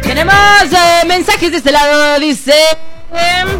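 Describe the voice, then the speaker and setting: A woman radio host, chatting with callers in a loud, teasing, playful way. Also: very high voice, steady tone, slow pace, over loud music. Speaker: radio host taking listener calls. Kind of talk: casual radio chat.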